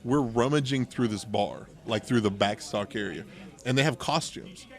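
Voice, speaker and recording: A man's voice: medium pitch, normal speed, gravelly. A man in casual, informal conversation, telling personal anecdotes as a podcast host and acting out bits of dialogue. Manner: informal, acts out quoted dialogue. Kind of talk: casual anecdotal storytelling chat.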